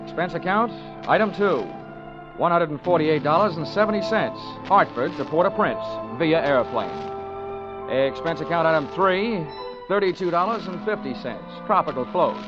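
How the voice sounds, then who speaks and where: An actor playing a cynical, hard-boiled American investigator, narrating and talking with dry, breezy sarcasm in 1940s radio style. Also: male voice, on the high side, lively intonation, moderate pace, natural voice. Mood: sardonic narration. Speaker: lead and first-person narrator. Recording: dull vintage radio recording, music behind.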